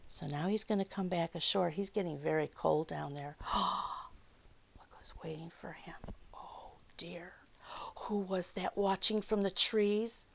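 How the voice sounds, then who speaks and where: A woman reading a picture book aloud to young children, expressive and dramatic, with questions and hushed asides to the listeners. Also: on the low side, measured pace, vocal fry. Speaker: children's storyteller.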